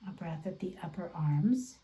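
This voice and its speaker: A woman instructor leading a guided meditation in a gentle, deliberate, encouraging way over an online video class. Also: on the low side, animated. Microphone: away from the mouth, video call.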